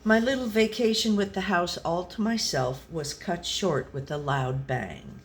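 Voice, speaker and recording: Slow, deadpan storybook pet read by a woman. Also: lowish voice. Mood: dry, rueful. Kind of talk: scripted storybook narration.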